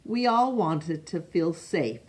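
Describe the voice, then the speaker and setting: A woman reading a picture book aloud to children, expressive and pausing to ask young listeners questions. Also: lowish voice. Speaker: storytime reader.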